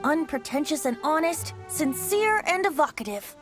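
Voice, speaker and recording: A woman's voice: high voice, animated, normal speed, music in the background. A woman voicing a playful young male bard in a video game, with a flowery, theatrical, teasing manner. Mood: merry, grandiose.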